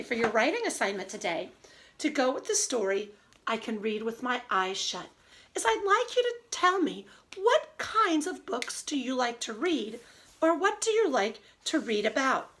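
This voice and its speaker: A woman teacher reading aloud and giving a lesson to young children in an expressive, child-directed way. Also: fairly high pitch. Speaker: early elementary teacher.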